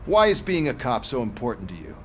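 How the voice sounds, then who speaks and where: Smug domineering police executive, medium pitch, sarcastic, condescending, video game, noisy ambience behind. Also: male voice, huge pitch swings, brisk, a bit bright. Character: smug, scheming, domineering male corporate police boss.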